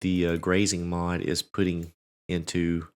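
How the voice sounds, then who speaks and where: A man hosting a farming game playthrough, congested and a bit cracking, talking in a relaxed, rambling way with many fillers and restarts. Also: sits low, unhurried, clean tone. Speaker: let's play host. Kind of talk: casual gameplay commentary.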